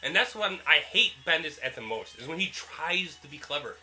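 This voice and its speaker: A man's voice: a little high, animated, moderate pace, pushed and bright, poor audio. A man, co-hosting a casual comics chat, sarcastic and dry-humored while getting over a cold. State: recovering from illness, coughing. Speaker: co-host.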